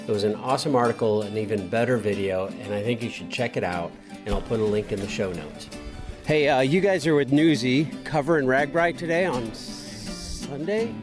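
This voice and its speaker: A man's voice: mid-range voice, expressive, moderate pace, music in the background. A man hosting a podcast, doing casual roaming interviews with friendly, easygoing banter and holding a handheld mic. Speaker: podcast host. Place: outdoors at a bike ride event.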